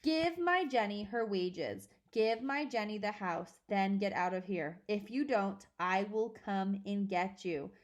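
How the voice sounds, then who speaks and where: A woman voicing the ghost of a dead father who speaks through writing chalk, slowly and ominously, in a storybook reading. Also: medium pitch, expressive, muffled recording, lightly acted. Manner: slow, measured, ominous.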